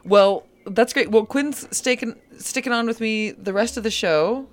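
A woman's voice: on the high side, measured pace. Chatty woman hosting local radio. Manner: chatty, playful, digressive. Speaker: radio show host. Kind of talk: casual live radio chat. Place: local community radio studio.

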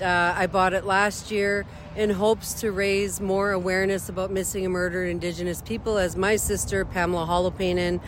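A woman's voice: mid-range voice, slight background noise. A woman from Northern Ontario, an advocate speaking earnestly and plainly in an outdoor interview, with fillers here and there. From Northern Ontario, Canada. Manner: heartfelt, plain-spoken, with frequent fillers. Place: outdoors beside a street.

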